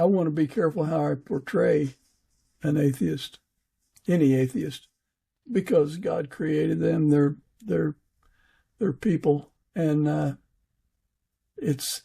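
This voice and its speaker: Gravelly, slow-talking man hosting an interview. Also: a little high, mellow. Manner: hesitant, halting, chuckles between phrases. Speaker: podcast host. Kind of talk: casual interview.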